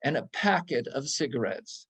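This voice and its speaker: A man giving a dramatic storybook reading with measured, deliberate and expressive phrasing. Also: medium pitch, creaky, husky. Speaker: reader in a readers theater.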